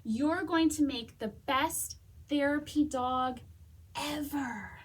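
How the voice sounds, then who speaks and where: A woman's voice: sits high, slow, a little hiss or hum. A woman voicing an eager, encouraging young girl in a storybook.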